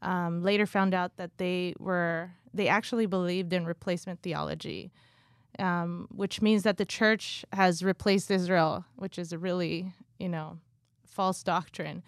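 A woman in her thirties, from Guatemala and raised in California, shares her story as a guest. Her voice sits a little low and she talks softly, hesitating often. The sound is dry and close. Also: steady tone, unhurried, no echo. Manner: soft, hesitant, many ums. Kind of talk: casual interview.

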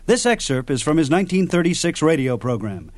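A man's voice: fairly high pitch, big pitch swings, a little fast, dry recording. A man reading scripted introductions as host of an old-time radio program.

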